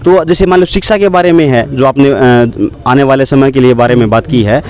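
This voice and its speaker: A man's voice: medium pitch, moderate pace. A man interviewing a village head, asking long questions with many hesitations. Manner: long hesitant questions with fillers, frequent soft hums.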